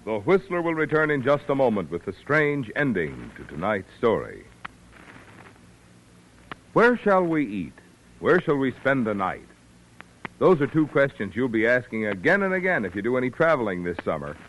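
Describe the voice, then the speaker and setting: A man's voice: medium pitch, sing-song, normal speed, fairly bright tone, slight background noise. A man working as a radio announcer in 1940s style, polished, formal and emphatic as he reads scripted announcements. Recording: muffled vintage radio broadcast, faint music.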